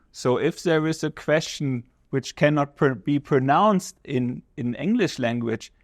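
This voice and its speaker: A man with a German accent, a visiting professor speaking in English as a second language, explaining things in a measured way and searching for words as he talks. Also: a little high, no room sound, studio quality. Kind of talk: conversational interview answers.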